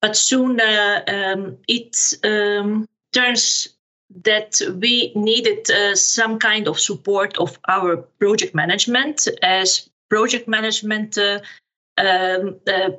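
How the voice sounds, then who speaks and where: A middle-aged woman from Serbia with a Serbian accent, answering interview questions haltingly as she searches for words. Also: medium pitch, fairly even intonation, pushed and bright. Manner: halting, searching for words, non-native phrasing. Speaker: podcast guest, project management officer.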